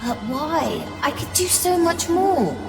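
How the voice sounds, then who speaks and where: Game role: plaintive female spirit of a ship, high-pitched, animated, innocent and earnest. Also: takes it slowly, creaky, music in the background, exaggerated voice. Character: youthful, questioning, wistful female spirit of a living ship. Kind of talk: video game dramatic acting.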